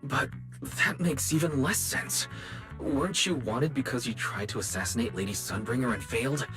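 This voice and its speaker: A man's voice: mid-range voice, moderate pace, over music, close to an everyday voice. A man playing an earnest, inquisitive young detective in a video game, wary and questioning. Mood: puzzled. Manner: questioning, expressive, puzzled. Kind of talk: video game story voice acting.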